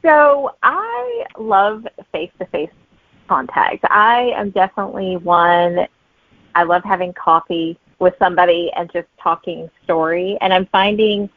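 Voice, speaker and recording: A woman's voice: lowish voice, lively intonation, a little slow, dry recording. A woman guest chatting casually in an interview, telling anecdotes and acting out remembered remarks. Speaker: guest author. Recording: narrow, phone-like dry audio.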